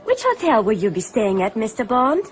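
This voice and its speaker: A woman's voice: fairly high pitch, huge pitch swings, moderate pace. A woman acting in a radio drama as a pushy but polite young newspaper photographer. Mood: coy, probing.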